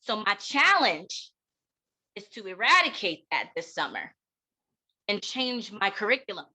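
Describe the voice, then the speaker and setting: A middle-aged American woman delivering an impassioned keynote talk in an emphatic, deliberate way, with rhetorical pauses and repetition. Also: medium pitch, very animated, sharp tone, breathy edge, low-quality recording. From United States. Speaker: keynote speaker and educator.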